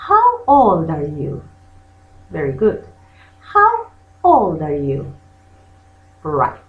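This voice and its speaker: An echoey, slightly dull recording of a native Spanish-speaking woman teaching a language lesson. She speaks slowly and deliberately with a very lively, swinging intonation, repeating phrases for learners to copy. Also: low-pitched. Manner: bilingual, switches between English and Spanish, has listeners repeat; deliberate, drills phrases by repetition. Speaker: English teacher, channel host. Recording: muffled lo-fi sound in echoey room.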